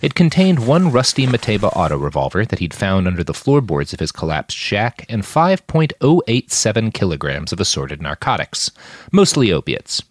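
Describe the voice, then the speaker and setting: A man with a low voice narrates gritty science fiction as a storyteller. His delivery moves up and down in pitch with plenty of variety, and the recording is close and dry. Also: huge pitch swings, moderate pace, no echo. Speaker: author reading his own fiction podcast. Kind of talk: audiobook-style narration of gritty sci-fi fiction.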